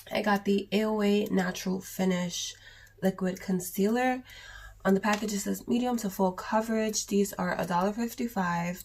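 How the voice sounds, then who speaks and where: A young woman with a sore throat and cough, chatting casually in a raspy voice through a makeup haul vlog. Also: medium pitch, darkish tone, slight background noise. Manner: chatty, rambling, with many ums and likes. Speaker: beauty vlogger.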